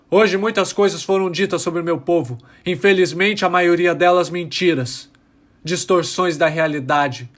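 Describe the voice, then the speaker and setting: A man playing a bitter young alien revolutionary, giving deliberate, indignant testimony in an audio drama. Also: fairly high pitch, fairly bright tone, lightly acted. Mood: bitter, defiant.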